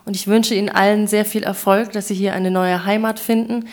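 A woman hosts a public event and speaks in a formal, courteous register. She works through a long round of thanks and good wishes, pausing now and then. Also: mid-range voice, level tone. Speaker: event host.

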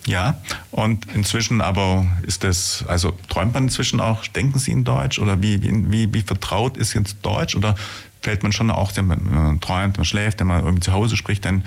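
A man's voice: fairly low pitch, normal speed, vocal fry, husky. A man hosting a conversational radio interview, rambling a little and rephrasing his questions as he goes.

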